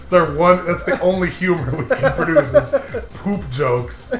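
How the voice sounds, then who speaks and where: A fairly high-pitched man with a very lively, swinging delivery co-hosts a casual gaming show. He banters crudely and with mock exasperation. The room is echoey, with faint game music behind him. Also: normal speed. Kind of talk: casual comedic gaming commentary.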